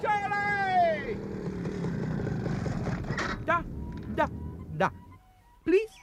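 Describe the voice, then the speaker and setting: An actor in a 1960 German radio play voicing a disguised rickshaw puller with shrill falsetto street calls. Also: male voice, extremely slow, noisy background, full character voice. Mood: shrill, urgent.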